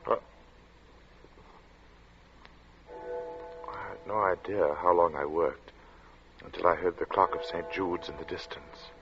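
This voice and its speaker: An actor playing a brooding, haunted artist who narrates his story in a deliberate, dramatic 1940s radio style. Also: low-pitched, steady tone, vocal fry. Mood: absorbed, intense. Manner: deliberate, dramatic, with weighted pauses. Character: male artist recounting a strange, oppressive hot day, brooding and haunted. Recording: dull vintage radio recording with loud music.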